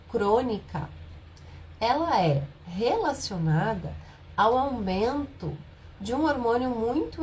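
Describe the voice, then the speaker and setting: A woman with a hoarse, nasal voice from a cold, hosting a live stream and explaining a health topic in a softer, lower voice than usual. She poses rhetorical questions to her viewers. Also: a little hiss or hum, rough recording.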